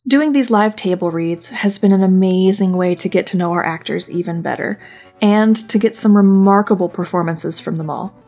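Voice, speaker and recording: Creaky-voiced woman hosting a podcast. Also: mid-range voice, moderate pace, dark tone, soft background music. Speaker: podcast host, voice director. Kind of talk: casual semi-scripted podcast narration.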